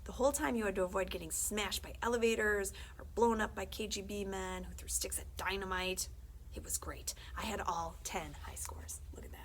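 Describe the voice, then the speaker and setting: A woman reading a children's book aloud as a librarian, conversational and expressive, often dropping into hushed, confiding tones. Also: mid-range voice, brisk, slight background noise, poor audio. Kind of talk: animated read-aloud of a children's book with brief asides.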